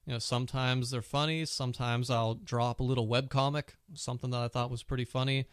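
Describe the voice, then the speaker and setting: A man's voice: medium pitch, flat delivery, fairly quick, clear, resonant tone, no echo, clean studio sound. A man hosting his own casual tech podcast, chatty and full of fillers, with a cold and a sore throat. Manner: chatty, many "you know" fillers. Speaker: podcast host.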